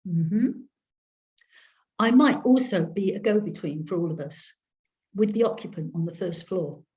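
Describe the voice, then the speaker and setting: A woman reading a story aloud in the first person with a wry, sardonic, expressive delivery over a video call. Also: on the low side, a little slow. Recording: dull lo-fi video call audio.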